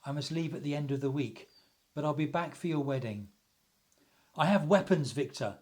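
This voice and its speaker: Male actor as loyal young gentleman, slightly high voice, earnest, deliberate, radio play delivery. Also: natural voice. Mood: urging, conspiratorial. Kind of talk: theatrical radio play acting.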